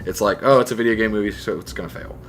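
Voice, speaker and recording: A man's voice: on the low side, very fast, a little hiss or hum. A man talking casually and emphatically on a discussion podcast, giving his opinions. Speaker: podcast co-host.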